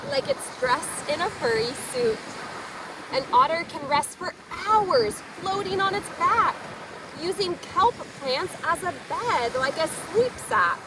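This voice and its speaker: High-pitched woman reading to children, very animated. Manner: expressive, explains words simply to young listeners. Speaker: children's story time host. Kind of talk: read-aloud of a children's picture book with asides. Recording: distant mic, noisy surf-like outdoor ambience.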